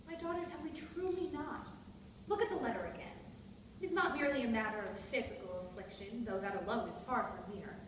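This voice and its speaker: A woman playing an anxious, melodramatic widowed stepmother with frail nerves in a dramatic stage reading of a period play, speaking in formal, ornate phrases. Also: high voice. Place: hall at a live staged reading. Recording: echoey noisy hall, distant microphone.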